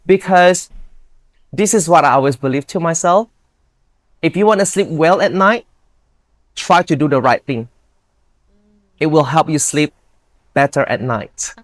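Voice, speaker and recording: A woman's voice: sits low, animated, unhurried, pauses often, no echo. Upbeat Indonesian-accented woman, dry sound. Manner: upbeat, earnest, non-native grammar. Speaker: guest, bodybuilder. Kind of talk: casual interview chat.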